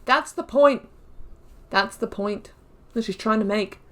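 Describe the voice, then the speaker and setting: A woman's voice: medium pitch, unhurried, a bit bright. Chatty woman musing over a game. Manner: musing, chatty, laughs often. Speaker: YouTuber playing a video game. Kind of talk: let's-play commentary.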